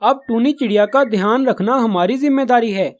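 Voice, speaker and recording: A man's voice: very high voice, fairly quick, slight background noise. Children's cartoon pigeon , male actor: responsible elder brother of the flock, earnest, caring, explanatory. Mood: earnest, firm. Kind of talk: Hindi children's cartoon dialogue.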